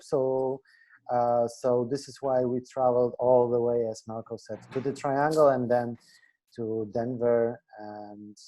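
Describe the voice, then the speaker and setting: A man's voice: medium pitch, dark tone, rough recording. A Polish-born journalist, a man speaking English as a second language, who restarts phrases while answering questions. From Poland. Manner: searching for words, restarts phrases. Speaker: journalist guest. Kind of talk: casual interview answers. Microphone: video call.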